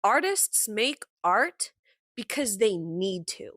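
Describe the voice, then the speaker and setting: A young woman talks in a warm, low-set voice that sits deeper than most women's. She rambles with passion and candor, filling her speech with "like" and the occasional swear word. The sound is dry and close, with little room behind it. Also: very animated, slow, dry recording. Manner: candid and impassioned, with frequent "like" fillers and mild swearing. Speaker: podcast host. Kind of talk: casual personal monologue.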